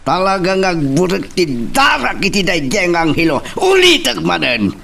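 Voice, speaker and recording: A man's voice: high voice, huge pitch swings, moderate pace, creaky, a bit bright, distant mic, full character voice. A man with a Filipino accent playing a vengeful, hot-tempered henchman in an audio drama, agitated and groaning between phrases. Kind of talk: Filipino radio-style drama acting.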